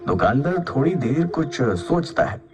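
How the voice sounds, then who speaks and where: A man's voice: a little high, big pitch swings, normal speed. A man narrating a scripted children's cartoon story as its storyteller.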